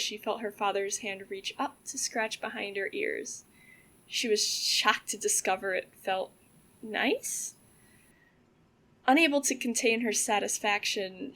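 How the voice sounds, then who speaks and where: Woman acting young female storyteller, gravelly fry, mid pitch, eerie audio drama tale-teller. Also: a bit bright. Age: young adult. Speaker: student audio drama actor.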